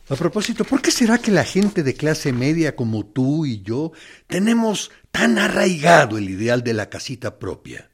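An actor playing a military captain interrogating a prisoner in a radio play, condescending and falsely sympathetic, with deliberate pauses. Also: male voice, mid-range voice. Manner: condescending, mock-friendly, deliberate with pointed pauses. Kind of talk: dramatic radio play monologue.

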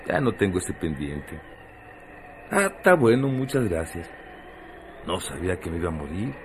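A man's voice: deep voice, big pitch swings, moderate pace, a little hiss or hum. An actor plays a weary, fatalistic man in a radio drama. He mutters his lines, resigned and bitter, as someone who has given in to his fate. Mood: bitter resignation.

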